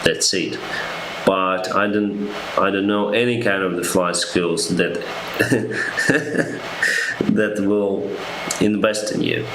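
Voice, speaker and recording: A deep, low-pitched young man takes questions from viewers as a pilot on a live stream. He speaks slowly and hesitantly, reading each question aloud before answering. The room sounds echoey, with a steady noise behind him. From Ukraine. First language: Russian. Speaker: airline pilot.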